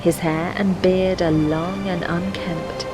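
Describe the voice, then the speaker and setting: A woman narrating a fantasy adventure game, reciting rhyming story verse in a measured, poetic way. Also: fairly low pitch, steady tone. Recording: music behind the voice. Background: background music.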